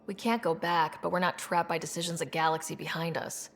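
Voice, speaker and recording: A woman playing a thoughtful, composed space expedition leader in a video game, speaking in a measured, conversational, gently probing way. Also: lowish voice, steady tone, a little hiss or hum, natural voice. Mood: reflective. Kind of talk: video game cutscene dialogue.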